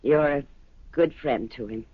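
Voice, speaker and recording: An actress playing an anxious but determined wife, probing and hesitant, in a 1970s radio drama. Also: female voice, extremely low pitch, measured pace, husky, no echo, full character voice. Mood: guarded, probing. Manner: probing, hesitant, emotionally urgent. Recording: dull lo-fi old radio recording.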